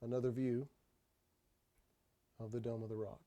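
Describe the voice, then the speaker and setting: A man giving an unscripted tour voice-over, explaining sights in a halting way and often repeating his phrases. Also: mid-range voice, level tone, warm tone. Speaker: tour narrator. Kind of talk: unscripted travel video voice-over.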